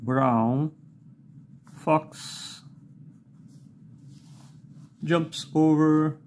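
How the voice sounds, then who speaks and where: Middle-aged Brazilian man, non-native English, unhurried desk product review, halting delivery, faint noise behind. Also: on the high side, darkish tone. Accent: Brazilian Portuguese. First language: not English. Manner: slow and halting, with many "uh"s and a questioning "okay?". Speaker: fountain pen reviewer. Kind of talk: unscripted product review while writing. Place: indoors at a desk.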